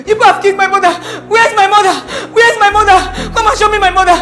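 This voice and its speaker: Woman doing a frantic girl yelling and sobbing, very high voice. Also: steady tone, fast, soft background music. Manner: shouting, pleading, crying. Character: frantic young woman, desperate and loud. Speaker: daughter searching for her mother in a hospital.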